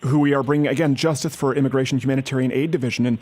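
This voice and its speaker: A man's voice: mid-range voice, steady tone, rapid-fire, darkish tone, a little hiss or hum. A man making a prank phone call as an earnest-sounding aid worker, pushing persistently. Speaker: prank caller.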